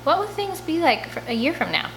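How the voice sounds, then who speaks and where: A woman's voice: sits high, very animated, fairly quick, a bit bright, low-quality recording. Woman reading a children's audiobook over background noise. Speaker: audiobook reader. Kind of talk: scripted read-aloud narration of a first-person children's novel.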